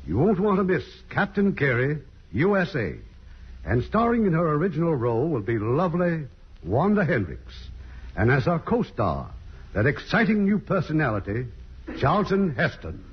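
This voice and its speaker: A man's voice: fairly high pitch, sing-song, moderate pace, breathy edge. A man hosting a 1950s radio show with courteous, scripted showman's banter and introductions. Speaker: host. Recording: muffled vintage radio broadcast recording.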